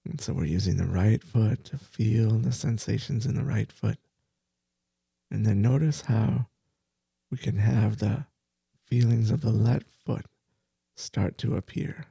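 Slow gravelly-voiced man guiding sleep meditation. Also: fairly low pitch, no echo. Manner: slow, soft, soothing guidance with repeated phrases. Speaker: meditation teacher.

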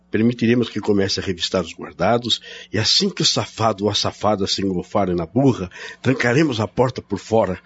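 An actor playing a stern, unyielding Brazilian brother-in-law in a radio drama, speaking in an emphatic, commanding way. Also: male voice, lowish voice, muffled recording, slight background noise, close to an everyday voice.

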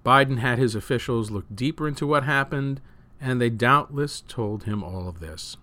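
A man reading news and opinion articles aloud as a host, with measured, emphatic phrasing that falls away at sentence ends. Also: mid-range voice, bright, forceful tone, dry recording. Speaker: news reader and show host.

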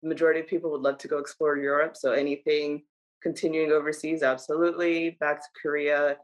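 A woman in her twenties, a soldier answering interview questions in an earnest, steady way, often repeating key phrases. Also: sits low, fast, low-quality recording. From Dominican Republic, raised in New Jersey. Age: 20s.

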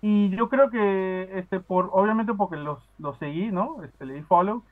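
A man from Peru talks casually over a tinny phone line, his voice higher than most men's. He hesitates a lot, restarting sentences and filling gaps with small words. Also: a little slow. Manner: hesitant, many fillers and restarts. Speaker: podcast caller. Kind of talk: casual chat.

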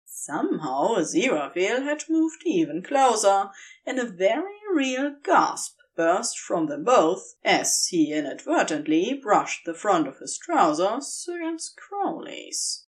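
A woman narrates a story for audio. Her reading is slow and measured, yet expressive and dramatic, with lively movement in pitch. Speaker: audio fiction reader.

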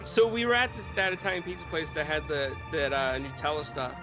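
A man's voice: fairly high pitch, expressive, fairly quick, over music. An American man chatting casually and telling anecdotes as a talk show host. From United States.

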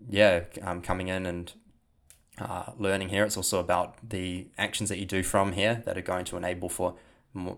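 A man's voice: sits low, gravelly. A young man with a New Zealand accent, a podcast host and coach talking casually with many fillers and restarts. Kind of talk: casual spoken talk and podcast outro.

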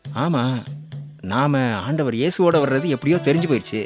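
An actor playing the disciple John in a radio drama, speaking in plain, earnest colloquial talk. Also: male voice, a little high, moderate pace, close to an everyday voice. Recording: radio drama with soft music underneath.

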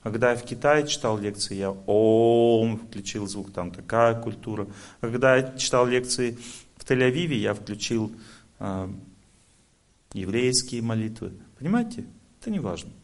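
A man's voice: mid-range voice, expressive, normal speed. A man speaking as a spiritual lecturer, explaining his teaching step by step to an audience and checking that listeners follow. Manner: didactic, explaining step by step with rhetorical check-ins.

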